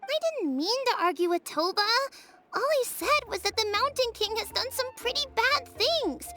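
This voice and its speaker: A woman's voice: extremely high pitch, lively intonation, normal speed, over loud music. A woman voicing an earnest, slightly sulky but well-meaning young child in a video game, with a childlike voice. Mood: sheepish, apologetic. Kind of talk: video game character acting.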